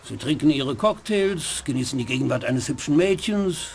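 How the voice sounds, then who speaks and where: A worldly, mocking nightclub owner in a dubbed noir game. He speaks with a condescending drawl in a fairly high voice that swoops around animatedly. A light hiss sits beneath. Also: lively intonation, moderate pace, gravelly.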